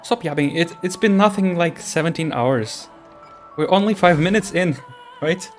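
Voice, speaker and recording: A man's voice: fairly high pitch, normal speed. A man giving casual gameplay reaction commentary, full of blunt, questioning asides. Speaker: streamer reacting to game story. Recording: faint background music.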